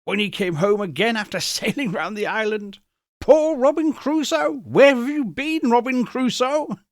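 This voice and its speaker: A man voicing an excited old miser in a storybook reading, gushing and exclaiming with childlike ecstasy. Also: very high-pitched, moderate pace, no echo, clean studio sound, pitched above the performer's own voice. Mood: giddy, exclaiming.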